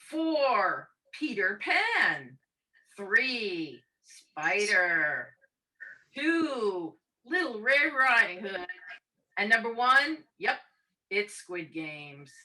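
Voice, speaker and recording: Bright, swooping woman presenter. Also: mid-range voice, far from the mic, poor audio. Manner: theatrical, playful. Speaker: presenter of comic news segment. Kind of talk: mock news list reading.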